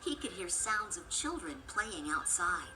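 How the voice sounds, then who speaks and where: A woman's voice: fairly low pitch, very animated, a little slow. A woman reading a scripted children's story as the narrator, with music and sound effects behind the voice. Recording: loud background music bed.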